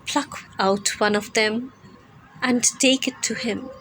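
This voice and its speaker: Kindly prince statue , female reader: mournful, solemn, extremely unhurried storybook delivery. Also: on the high side, fairly even intonation, fairly bright tone, a little hiss or hum, poor audio. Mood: sorrowful, pleading. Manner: slow, solemn, repeating pleas. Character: kindly sorrowful male prince statue, gently pleading.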